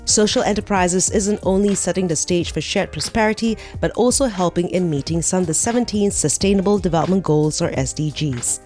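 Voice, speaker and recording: A woman presenter with a lowish voice, delivering scripted narration and interview questions in a measured, clear way. Quiet music sits faintly behind her voice. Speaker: host and interviewer.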